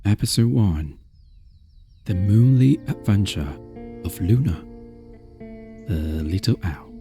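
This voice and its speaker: A man softly narrating a soothing ASMR bedtime story in a gentle, unhurried, hushed manner over music. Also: fairly low pitch, animated. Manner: gentle, hushed, unhurried, at times near a whisper. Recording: music behind the voice.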